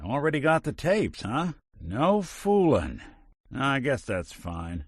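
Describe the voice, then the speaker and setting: An actor voicing a world-weary, middle-aged robot detective in a video game, with an American accent and dry, sardonic, old-fashioned private-eye slang. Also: male voice, mid-range voice, very animated, slow, slightly rough, no echo, heavily acted. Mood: businesslike, wry. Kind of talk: film noir private-eye game acting.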